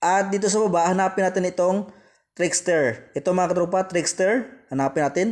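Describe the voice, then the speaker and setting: A Filipino man with a fairly high voice narrates an app tutorial. He talks straight to viewers as friends and repeats each step as he goes. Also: darkish tone. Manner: repetitive, addressing viewers directly as friends. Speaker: tutorial host.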